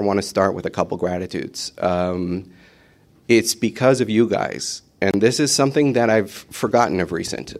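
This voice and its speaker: A man with a fairly low voice speaks on a conference panel, telling his own recovery story in a loose, unscripted way. He stops often with "um" and "uh," breaks off words and starts again. It sounds like a meeting room at a conference. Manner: halting, many fillers and restarts.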